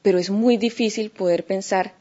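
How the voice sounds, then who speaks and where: A woman from Colombia with a Colombian Spanish accent, speaking thoughtfully in an unscripted account, pausing often with fillers and restarts. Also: medium pitch, fairly even intonation, mellow. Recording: dull lo-fi recording.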